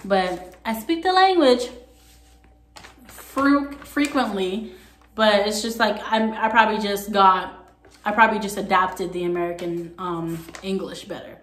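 A young woman with a faint Jamaican accent chats casually to her camera, throwing in sassy asides. Her voice sits at an ordinary middle pitch. The small room at home adds a noticeable echo. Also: measured pace. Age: young adult. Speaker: vlogger. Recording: echoey room.

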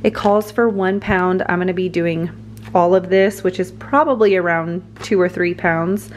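A woman's voice: on the low side, normal speed, a little hiss or hum. A woman in her thirties, a mom and vlogger, talking casually and upbeat while cooking at home, laughing often. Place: home kitchen, indoors.

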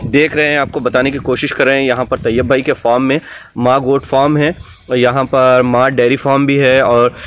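A man's voice: medium pitch, little pitch movement, a little fast. A man hosting a casual on-site livestock vlog, politely chatting and explaining to viewers. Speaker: host and interviewer. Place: open-air fenced goat farm yard. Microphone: handheld camera or phone mic. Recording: clear outdoor noise, animal sounds.

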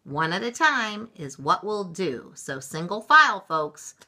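A woman's voice: on the low side, very animated, unhurried, pushed and bright, voice pushed up, exaggerated voice. A woman playing a genial, speechmaking storybook mayor. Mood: proud, rallying. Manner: declamatory. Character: grand, genial male city mayor giving speech. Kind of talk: children's picture book read-aloud.

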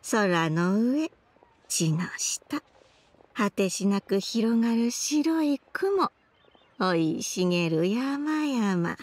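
A woman's voice: mid-range voice, sing-song, extremely slow, darkish tone, no room sound, exaggerated voice. A woman voicing a forgetful, dreamy old grandmother in a Japanese fantasy game, rambling in old-fashioned elderly phrasing. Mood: dreamy, absent. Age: older. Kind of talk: Japanese anime game voice acting.

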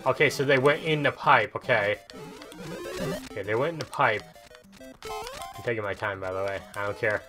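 A man with an average-pitched voice hosts a gaming video. He comments casually and rambles, reacting aloud to what is in front of him. Game music plays behind his voice. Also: huge pitch swings, normal speed, long pauses.

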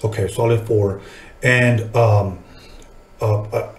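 A man's voice: fairly low pitch, fairly even intonation, slow, echoey room. A middle-aged man hosting a casual interview, talking informally with plenty of swearing. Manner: informal, profane, reminiscing. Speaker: podcast host, music artist. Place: small podcast studio, indoors. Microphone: desk podcast microphone.

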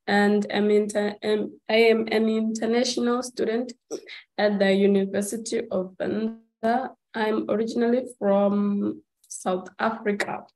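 Slow, hesitant higher-voiced student presenter. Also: female voice, darkish tone, rough recording. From Zimbabwe. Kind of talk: presentation over video call. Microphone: video call.

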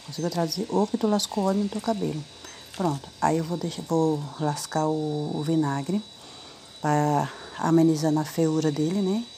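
A woman's voice: low-pitched, normal speed. A middle-aged woman, chatting through a cleaning tutorial with slangy, teasing jokes. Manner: jokey, slangy and teasing, playful asides. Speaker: home cleaning vlogger. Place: home kitchen, voice heard while cleaning a stove. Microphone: phone camera held close over the stovetop. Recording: loud background noise.

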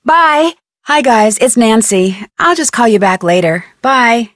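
A young American amateur detective in an adventure game. Her voice is fairly high and dry, with no room sound. She is plucky, inquisitive and conversational in her delivery. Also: expressive, a little slow, a bit bright, no room sound. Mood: friendly, curious. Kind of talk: scripted adventure game dialogue.

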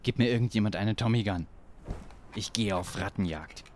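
An actor playing a cocky, ruthless mafia boss in a radio play, with theatrical delivery. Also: male voice, lowish voice, moderate pace, a little mellow, a little hiss or hum, lightly acted. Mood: cocky menace. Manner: swaggering, theatrical.

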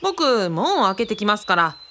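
A woman playing a boyish, excitable young man in a radio drama, speaking casually and exclaiming often. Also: mid-range voice, normal speed. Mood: impressed. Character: boyish, excitable young male sidekick, Kaoru.

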